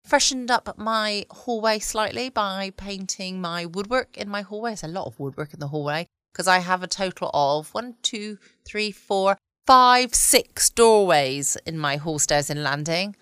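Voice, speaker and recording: A woman's voice: medium pitch, animated, unhurried, few pauses, fairly bright tone, clean tone, no echo, clean studio sound. A British woman chatting casually as the host of a late night bedtime podcast. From United Kingdom.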